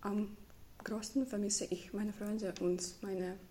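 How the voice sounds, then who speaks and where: A woman's voice: mid-range voice, flat delivery, slow, warm tone, a little hiss or hum. A fifteen-year-old girl with a Ukrainian accent gives hesitant interview answers, searching for words. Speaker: interviewee, refugee student.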